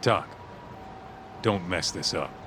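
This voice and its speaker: A man's voice: deep voice, slow pace, noisy background. An actor playing a blunt, streetwise tough-guy sidekick in a video game cutscene, crude but loyal. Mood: teasing. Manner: blunt, slangy, swearing.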